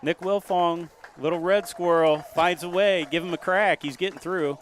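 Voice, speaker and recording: A man calling a local high school football game play by play, folksy and rising to loud excitement on big plays. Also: moderate pace, slight background noise. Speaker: play-by-play commentator.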